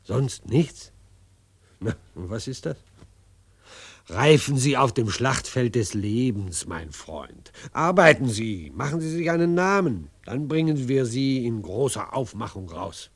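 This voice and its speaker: A man's voice: medium pitch, big pitch swings, measured pace, slightly rough, full character voice. A male actor playing a pompous, patronising cabaret impresario in a German radio drama, with theatrical, condescending delivery.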